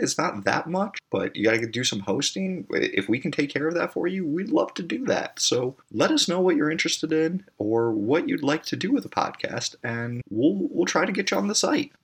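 A man's voice: a little high, gravelly, husky. A man hosting a podcast, talking in a rambling, enthusiastic monologue. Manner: rambling, enthusiastic, many fillers. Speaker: podcast host.

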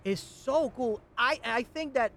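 A man's voice: very high-pitched, a little slow. A man co-hosting a podcast and working as a voiceover artist, talking in an enthusiastic, admiring way in casual chat. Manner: enthusiastic, admiring, animated storyteller.

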